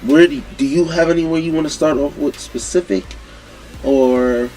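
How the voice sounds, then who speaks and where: A man's voice: fairly high pitch, normal speed, dark tone, faint music behind, rough recording. A man hosting a casual discussion, losing his voice, laid-back and chatty. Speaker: host. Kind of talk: casual chat.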